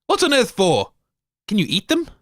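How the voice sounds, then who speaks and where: A man's voice: sits high, huge pitch swings, normal speed, no room sound, studio quality. A man co-hosting a comedy radio show, blunt and grumpy.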